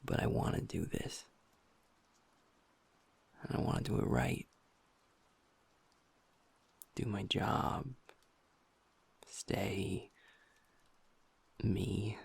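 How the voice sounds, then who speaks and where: A man voicing a happy-go-lucky young male werewolf boyfriend in an intimate audio roleplay, talking softly and earnestly to his partner. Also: on the low side, little pitch movement, drawn-out words, long pauses, heavily acted. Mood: tender, affectionate. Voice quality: soft, breathy, half-whispered. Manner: earnest, affectionate, talks to the listener as partner.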